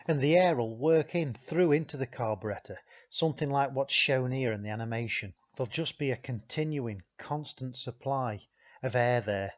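A man, a repair instructor, giving a steady, methodical voice-over explanation of a technical animation and repeating key points. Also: mid-range voice, muffled recording.

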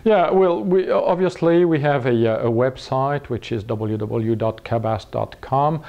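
Mellow, halting Frenchman in his fifties. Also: medium pitch, highs cut off. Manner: halting, searching for words. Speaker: company executive presenting products. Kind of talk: product interview, explaining. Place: small indoor showroom room with curtains.

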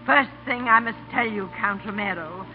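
An actress playing an anxious, loving older mother in a halting, emotional 1930s radio style. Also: medium pitch, faint music behind, lightly acted. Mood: earnest, tremulous. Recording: muffled 1930s radio broadcast recording.

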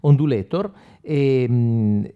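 A man's voice: mid-range voice, slow pace, clear, resonant tone. A man, explaining things informally in a conversational tutorial manner, often checking in with the listener. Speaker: guitar instructor and product demonstrator. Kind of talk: informal video tutorial, explaining between guitar demos. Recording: dull lo-fi sound, loud guitar playing. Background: loud electric guitar with effects.

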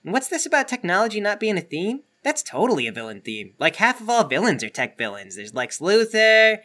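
A man's voice: sits high, wildly swooping pitch, fairly quick, bright, forceful tone. A man chatting casually as a player in a tabletop game. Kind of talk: casual banter.

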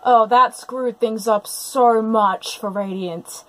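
A woman's voice: fairly high pitch, steady tone, slow pace, rough recording. A woman giving live, unscripted reaction commentary, exclaiming and gushing as she narrates what is happening. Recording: music playing behind the commentary.

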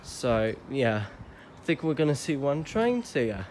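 A man's voice: mid-range voice, sing-song, a little slow, a little mellow. A young man presenting a train vlog outdoors on a platform, chatty and a little hesitant as he talks to viewers. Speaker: train vlogger. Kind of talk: casual vlog narration. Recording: faint outdoor noise. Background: faint outdoor ambience.

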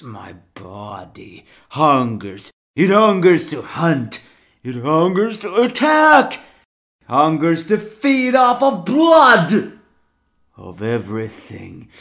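A man doing a ravenous, shouting dragon. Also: a little high, heavily acted. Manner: shouting, frenzied, bursts of loud cries. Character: ravenous four-armed dragon, wild and battle-hungry.

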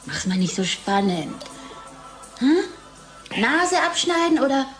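An actress in a German radio play playing a bored, bitter, hard-drinking wife, sardonic and languid. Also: female voice, high-pitched, very animated, takes it slowly, a bit bright, soft background music, exaggerated voice. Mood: teasing.

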